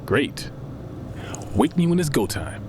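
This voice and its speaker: An actor playing a laid-back, wisecracking sidekick in an audio drama, giving short, blunt, naturalistic replies. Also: male voice, medium pitch, sing-song, slow, a little mellow, slight background noise. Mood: breezy.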